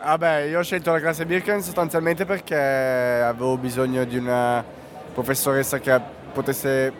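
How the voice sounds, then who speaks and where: A man's voice: medium pitch, flat delivery, moderate pace. Male art student from Italy, mid voice, thoughtful, crowd chatter around. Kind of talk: describing his work.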